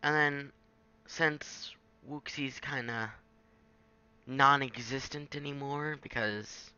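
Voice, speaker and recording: A man giving an informal, halting software tutorial, thinking aloud as he goes. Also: medium pitch, fairly even intonation, clean tone, dull audio. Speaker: tutorial presenter. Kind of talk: casual screen-capture software tutorial.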